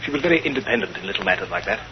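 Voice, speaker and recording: An actor playing a stiff, hesitant English aristocrat in a 1930s radio drama, speaking formally and haltingly. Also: male voice, lowish voice, lively intonation, close to an everyday voice. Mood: stiff, troubled. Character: pompous, hesitant English aristocrat, aggrieved bridegroom. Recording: muffled, noisy 1930s radio recording.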